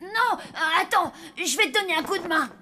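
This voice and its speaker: A woman voicing an eager, boastful little zombie boy in a children's cartoon, excitable and pleading. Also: extremely high pitch, normal speed, noisy background. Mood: eager, unsure. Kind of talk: animated children's cartoon dub.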